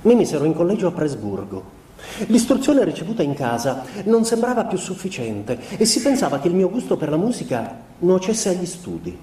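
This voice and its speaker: A male actor playing a sensitive, scrupulous young husband confessing through a letter, in a measured, literary theatrical monologue for radio. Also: high-pitched, big pitch swings, warm tone. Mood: wistful recollection. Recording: roomy, distant mic, faint noise.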